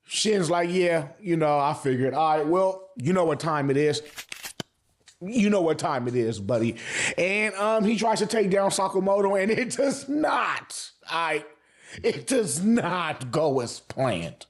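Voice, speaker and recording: A man's voice: fairly high pitch, expressive, unhurried. A man giving casual, slangy video commentary as an anime reviewer, swearing freely and repeating phrases for emphasis.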